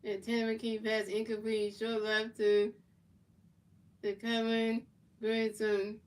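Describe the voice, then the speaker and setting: Flat-voiced woman streamer calling a football game. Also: fairly high pitch, recorded from a distance. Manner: announces plays in a measured, even delivery; talks back to chat in casual slang. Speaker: streamer playing and commentating a football video game. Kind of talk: play-by-play game commentary mixed with chat replies.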